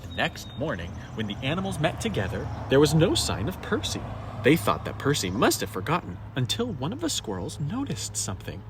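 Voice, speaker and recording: A man reads a children's story aloud in a fairly high voice. His telling is emphatic and highly animated, rising and falling widely. Clear ambient noise sits behind him. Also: brisk, few pauses. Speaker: storybook reader. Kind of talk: expressive children's story narration.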